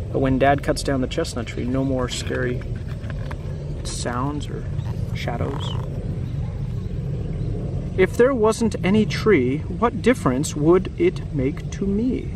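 Slow-reading man with a slightly creaky voice. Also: mid-range voice. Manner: slow, deliberate, simple phrasing with questions to young listeners. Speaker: host reading a picture book to children. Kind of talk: read-aloud storytelling with chatty asides. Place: outdoors in a grassy, wooded yard. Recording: outdoor camera audio with background noise.

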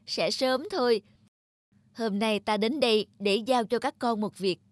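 A woman's voice: fairly high pitch, unhurried, no room sound, clean studio sound, natural voice. A woman voicing a benevolent angel teacher in a cartoon, assured and kindly with the pupils.

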